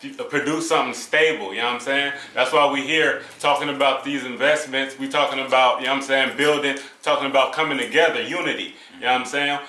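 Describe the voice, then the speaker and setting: A man's voice: medium pitch, fairly even intonation, quick pace, hardly any pauses, pushed and bright. A young man in a casual discussion, speaking in a laid-back way with lots of filler phrases. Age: young adult. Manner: laid-back, frequent "you know what I'm saying" fillers. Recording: roomy echo.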